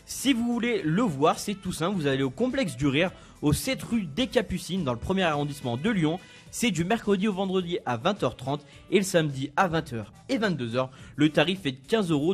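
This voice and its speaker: A young man reading a weekly culture events column on a radio show in a brisk, informative way. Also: high-pitched. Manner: brisk, informative, laughs easily.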